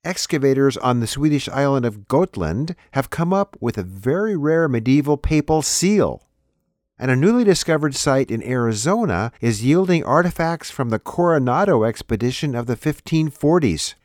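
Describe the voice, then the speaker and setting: A man's voice: mid-range voice, sing-song, moderate pace, a little mellow, no echo, studio quality. A man reading a scripted announcement as the host of an audio news show.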